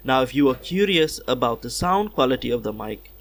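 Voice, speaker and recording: A man reading a scripted product unboxing voiceover in a measured, instructional way, recorded on a small external car-radio microphone. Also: medium pitch, expressive. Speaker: host of a car audio channel. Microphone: small external car-radio microphone, cleaned-up voiceover.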